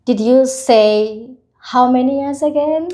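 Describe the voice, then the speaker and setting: A woman's voice: sits high, slow, warm tone. A woman hosting a podcast in a casual, conversational way, upbeat and welcoming to her guest. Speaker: podcast host.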